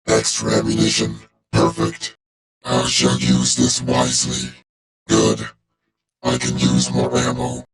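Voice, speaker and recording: A man voicing a cold, loyal robot warrior for a video game, speaking in a clipped, formal, deadpan way through a robotic filter. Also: on the low side, gravelly, heavily acted, low-quality recording. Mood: coldly calculating. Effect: robotic vocoder filter.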